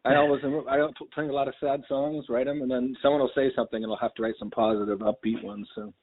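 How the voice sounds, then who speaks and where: A man's voice: mid-range voice, steady tone, quick pace. A man in his fifties, a musician in casual podcast chat, rambling and candid and swearing freely. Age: 50s. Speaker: guest musician. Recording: tinny phone-like sound.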